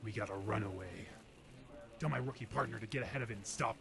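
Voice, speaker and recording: A man's voice: fairly low pitch, fast talker, gravelly, fairly bright tone. A man playing an authoritative police detective in an old-time radio drama, giving clipped, commanding orders. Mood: brisk, urgent.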